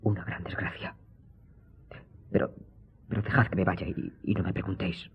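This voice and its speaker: An actor playing a well-bred, earnest young viscount in a radio drama, with a polite, emotional, expressive delivery. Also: male voice, low-pitched, normal speed, vocal fry, whispered. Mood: shaken, urgent. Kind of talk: Spanish-language radio drama acting. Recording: muffled audio with music.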